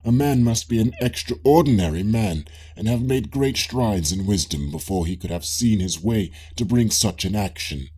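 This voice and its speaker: A man's voice: lowish voice, normal speed, few pauses, darkish tone, breathy edge. A man voicing an inquisitive, mock-humble ancient Greek philosopher, formal and earnest, in an audiobook dialogue. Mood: astonished, probing.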